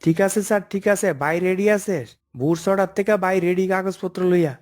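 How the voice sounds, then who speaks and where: A man's voice: high-pitched, steady tone, a little fast, a little mellow, lightly acted. A man voicing a cocky, pushy office underling in a comic cartoon, wheedling and overconfident, with an eastern Bengali dialect. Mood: cocky, reassuring.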